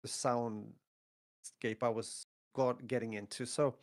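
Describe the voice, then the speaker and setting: A man's voice: mid-range voice, flat delivery, slow. A man co-hosting a podcast in casual chat, talking hesitantly and repeating words as he goes. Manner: hesitant, repeats words, stacks "you know" and "I mean". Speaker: podcast co-host.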